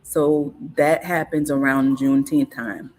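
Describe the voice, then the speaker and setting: A woman, a guest in a casual interview, explaining things in an unhurried, informative way with frequent fillers and restarts. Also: rumbling low, steady tone, a little mellow, poor audio. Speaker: guest on cultural topics.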